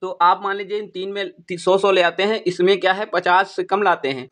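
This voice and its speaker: A man's voice: high-pitched, fairly even intonation, brisk, fairly bright tone. A man teaching in an informal explainer style, repeating points for emphasis. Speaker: exam-prep YouTube teacher. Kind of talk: informal explainer addressing students.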